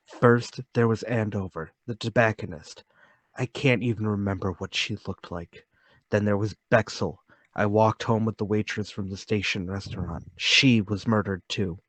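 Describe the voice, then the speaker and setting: An actor playing a timid, troubled, lonely man confessing to murder in a radio-play table read, halting, pleading and intense. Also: on the low side, steady tone, a bit bright, no echo. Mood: brooding.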